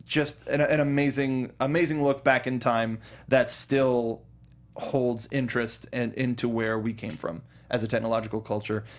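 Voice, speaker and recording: A man's voice: mid-range voice, little pitch movement, moderate pace. A young man co-hosting a casual movie review chat, rambling with many restarts and fillers. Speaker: podcast co-host.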